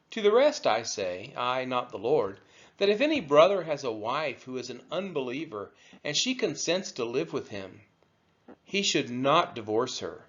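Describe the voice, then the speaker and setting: Expressive man reading scripture, dull audio. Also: medium pitch. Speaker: scripture reader. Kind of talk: scripted read-aloud of scripture.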